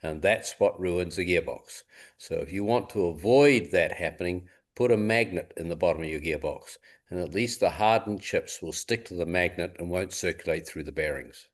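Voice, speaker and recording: A man with a distinctly low voice talks through technical advice. He sounds like a practical, experienced rebuilder speaking on a group call. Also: normal speed. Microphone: conference call.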